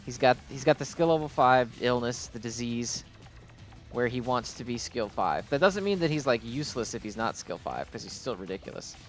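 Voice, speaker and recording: A man's voice: mid-range voice, lively intonation, normal speed, soft background music. Rambling man doing casual gameplay commentary. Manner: rambling, self-interrupting, casually sweary. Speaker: gaming video host.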